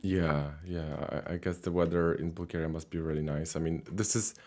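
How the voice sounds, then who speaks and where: A man's voice: low-pitched, normal speed. A man hosting a live language call-in stream, chatting casually with callers with lots of fillers and repeats. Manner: relaxed, many fillers and repeated words.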